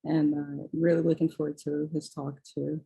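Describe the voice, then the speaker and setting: A woman's voice: low-pitched, level tone, moderate pace, gravelly, warm tone, slightly rough, poor audio. A woman introducing a guest speaker on a webinar, speaking with frequent fillers. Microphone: video call.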